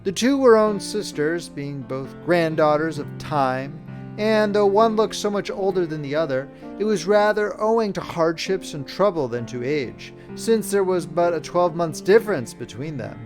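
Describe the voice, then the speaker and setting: Higher-pitched man narrating over guitar music. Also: animated, normal speed. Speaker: audiobook narrator. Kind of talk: scripted literary storybook narration.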